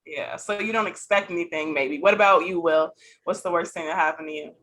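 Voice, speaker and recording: A woman in her late thirties co-hosting a casual podcast, prompting the guests in a playful, lively way. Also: fairly low pitch, fairly quick. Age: late 30s. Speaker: podcast co-host. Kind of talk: casual chat.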